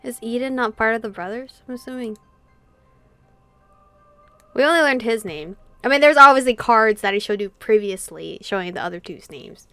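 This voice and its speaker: Fairly high female voice, expressive game streamer, reading aloud and reacting, soft music underneath. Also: normal speed, clean tone, dry recording. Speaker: gaming streamer.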